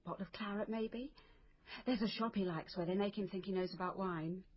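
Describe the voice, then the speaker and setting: A woman acting as a drily joking patient on the mend. Her voice is husky and her manner offhand and wry. The radio drama recording sounds a bit dull. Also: medium pitch, fairly quick, lightly acted.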